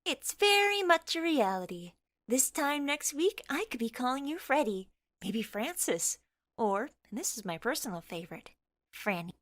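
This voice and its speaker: Woman acting a bubbly, high-voiced teasing best friend. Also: moderate pace, no room sound. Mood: playful, teasing. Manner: playful, encouraging, animated. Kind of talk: scripted audiobook character dialogue.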